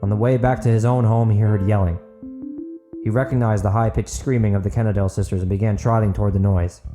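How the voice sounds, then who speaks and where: A man's voice: on the low side, fairly even intonation, quick pace, over music. A man reading scripted dramatic fiction as an audiobook narrator.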